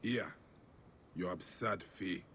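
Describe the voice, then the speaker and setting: A man's voice: low voice, takes it slowly, a bit bright, a little hiss or hum. A man playing a stern, curt desert warrior in a video game, terse, wry and threatening. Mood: gruff impatience. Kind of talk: video game cutscene acting.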